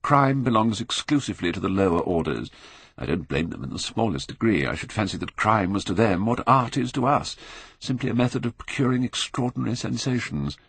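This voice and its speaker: Casting: male narrator playing jaded British lord, older, epigrammatic, low creaky voice, elastic intonation. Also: expressive, brisk, fairly bright tone, no echo, heavily acted. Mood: languidly amused. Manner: drawling, epigrammatic, blasé. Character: languid, witty, cynical Victorian aristocrat, older gentleman.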